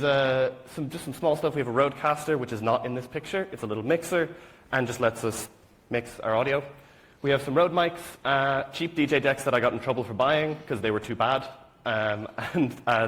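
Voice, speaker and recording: A young Irish man presents a technical talk in a relaxed, offhand way, with plenty of ums and asides. His voice sits at a middle pitch for a man, and his self-deprecating humour keeps the delivery informal. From rural Ireland. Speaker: student conference speaker.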